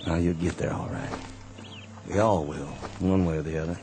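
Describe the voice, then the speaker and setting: A man playing a tired, kindly Confederate sergeant, speaking gently and plainly in a radio drama. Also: low voice, fairly even intonation, moderate pace, background noise, lightly acted. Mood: weary, kindly. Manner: gentle, fatherly, plain-spoken. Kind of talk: 1960s-style radio drama acting.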